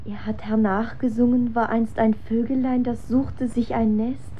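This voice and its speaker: A woman's voice: on the high side, level tone, unhurried, phone-quality sound, a little hiss or hum, lightly acted. An actress playing a lovesick, shivering young daughter of olden times in a radio drama, dreamy and archaic in her wording. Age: young adult.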